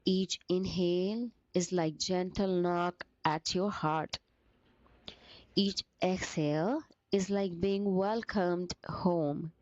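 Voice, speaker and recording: A woman leading a guided meditation as a teacher, with gentle, soothing, deliberate phrasing broken by short pauses. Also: lowish voice, dry recording, highs cut off.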